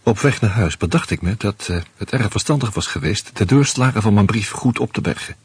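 An actor playing an anxious out-of-work husband who narrates in the first person, wry and hesitant, in a radio play. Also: male voice, on the low side, expressive, a little fast, slightly rough, no room sound.

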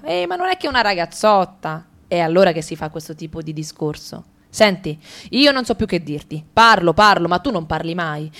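A woman's voice: medium pitch, fairly bright tone. Italian woman reading with ironic bite. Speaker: radio drama reader. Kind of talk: expressive dramatic reading.

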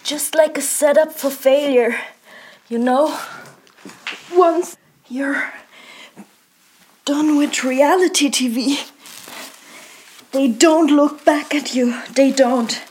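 A woman's voice: high voice, slow, long pauses, a little mellow, slight background noise. A woman speaking in the style of a reality-TV confessional.

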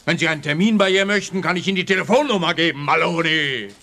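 Sharp, forceful, officious policeman. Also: on the high side, fast talker, soft background music. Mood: mocking. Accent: Swiss Standard German. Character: officious male police officer, needling and smug. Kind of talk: radio play dialogue.

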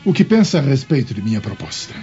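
A Brazilian man playing a smooth-talking Roman governor in a theatrical radio drama. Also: medium pitch, very animated, quick pace, mellow, lightly acted. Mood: probing. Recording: dull lo-fi audio with faint music.

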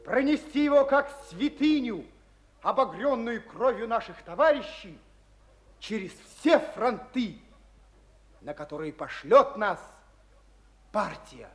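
Slow, solemn military commander. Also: male voice, high-pitched, very animated, fairly bright tone, heavily acted. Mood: solemn, fervent. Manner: declamatory, solemn. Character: solemn military commander swearing an oath and giving orders. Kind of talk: Russian radio play acting.

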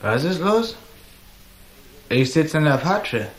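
A man's voice: on the high side, sing-song, slow. A male actor playing a proud, suspicious prizefighter in a radio crime drama, blunt and challenging. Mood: suspicious, threatening.

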